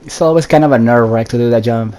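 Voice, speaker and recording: A man's voice: medium pitch, fast talker, dark tone. A man giving casual solo gameplay commentary, addressing viewers directly with instructions as he plays. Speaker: gaming video host.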